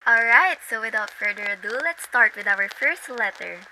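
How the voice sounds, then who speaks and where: A woman's voice: a little high, animated, moderate pace, poor audio. A woman working as a radio DJ, giving scripted narration for an amateur radio drama. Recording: loud guitar music behind. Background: acoustic guitar instrumental.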